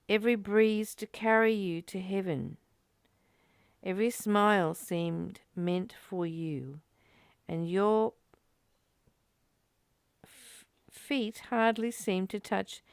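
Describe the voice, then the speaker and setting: A woman giving a spiritual reading and reflection as a teacher, in a deliberate, measured way with emphatic pauses. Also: medium pitch, clean tone, dry recording. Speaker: study group teacher reading and commenting.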